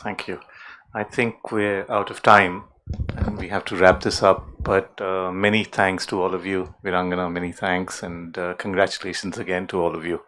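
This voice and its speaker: A man's voice: sits low, level tone, normal speed, fairly bright tone, a little hiss or hum. A man moderating a panel discussion, speaking thoughtfully and hesitantly with many fillers.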